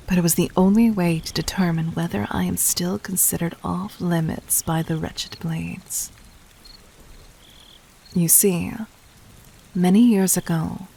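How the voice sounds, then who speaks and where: A woman playing a calculating, commanding demon queen in an audio roleplay, speaking formally and deliberately in a soft voice that often dips toward a whisper. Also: on the low side, vocal fry, slightly rough, heavily acted. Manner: measured, regal, formal, deliberate. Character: calculating, commanding demon queen toying with her would-be assassin. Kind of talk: scripted audio roleplay addressed to the listener.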